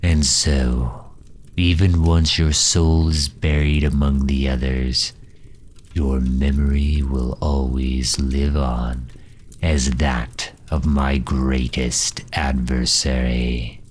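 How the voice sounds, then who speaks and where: A man playing a grave, haughty dark knight who absorbs souls, speaking formally and deliberately with a deep, breathy, husky voice in an audio roleplay. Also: little pitch movement, heavily acted. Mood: brooding, solemn. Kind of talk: slow dramatic audio roleplay monologue.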